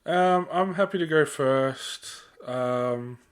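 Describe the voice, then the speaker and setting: A man's voice: fairly high pitch, takes it slowly, smooth. A young Australian man co-hosting a podcast in casual chat, laid-back, slangy and rambling as he talks. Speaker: podcast co-host.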